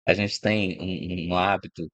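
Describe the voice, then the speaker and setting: A middle-aged man from Brazil talks in a low, steady register, recorded close and dry with no room sound. He explains things patiently in a casual spoken style, tagging his points with quick check-ins to the listener. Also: no echo. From Brazil, born in Rio de Janeiro. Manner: reflective, explanatory, frequent "né?" and "tá?" tags. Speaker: host of a channel about food and agribusiness. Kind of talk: informal spoken talk to camera.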